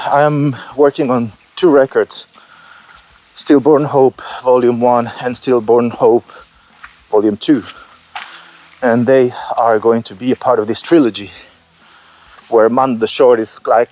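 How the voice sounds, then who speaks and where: A man speaking slowly and haltingly, stopping often to restart his sentences. He sounds candid and hard on himself, now and then swearing as he thinks aloud in a loose, unscripted monologue. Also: mid-range voice, a little mellow. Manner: hesitant, halting, many fillers and restarts; candid and self-critical, with occasional swearing. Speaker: solo musician vlogging his album process. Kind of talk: unscripted reflective vlog.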